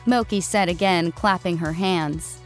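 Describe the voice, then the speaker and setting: A woman's voice: on the low side, unhurried, faint music behind. A woman reading a scripted story as its narrator.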